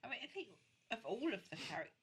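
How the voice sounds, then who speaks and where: Bright, slightly rough-edged woman chatting. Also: a little high, very animated, fast talker. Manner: brief, agreeable interjections. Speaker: podcast guest. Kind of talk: casual chat.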